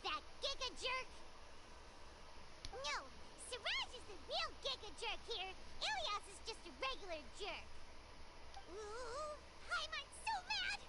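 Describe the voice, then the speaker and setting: A woman voicing a small, feisty girl fairy companion in an anime game, squeaky and exclamatory. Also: sing-song, slow, background noise, heavily acted. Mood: indignant. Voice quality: squeaky, childlike. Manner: exclamatory, speaks of self in third person.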